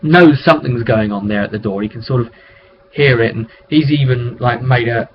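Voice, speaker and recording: A man's voice: mid-range voice, normal speed. A man casually recounting a tabletop roleplay session as game master, off the cuff and conversational, in a rambling way. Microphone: webcam microphone. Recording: dull lo-fi webcam audio with noise.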